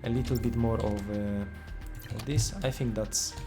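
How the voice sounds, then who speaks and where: A man with a Polish accent, a native Polish speaker, casually commenting on a game he is playing while thinking aloud with many fillers and pauses. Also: lowish voice, fairly even intonation, music in the background. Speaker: game developer streaming gameplay.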